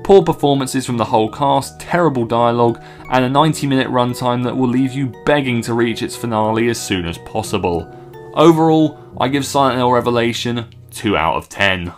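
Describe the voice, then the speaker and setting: A man's voice: medium pitch, a little fast, soft background music. A man in his twenties narrating a scripted video review in a sardonic, scornful way. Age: 20s. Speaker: film reviewer.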